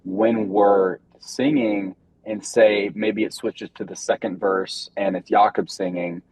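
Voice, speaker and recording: Low husky laid-back young man. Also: steady tone, takes it slowly, a little mellow, no room sound. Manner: laid-back, rambling with many fillers. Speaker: band member guest. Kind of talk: casual interview chat. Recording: dull lo-fi recording.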